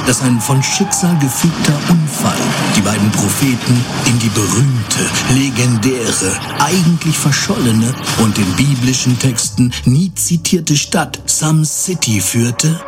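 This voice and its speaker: A man as the narrator of a comedy audio drama, delivering scripted narration in a measured, grandiose, tongue-in-cheek way. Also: mid-range voice, animated, over music, close to an everyday voice. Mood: mock-solemn.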